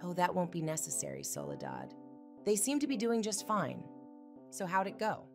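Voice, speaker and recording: A woman playing a confident adult monster fighter who mentors kids with calm command in a cartoon comic dub. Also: fairly low pitch, moderate pace, soft background music. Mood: calm, serious.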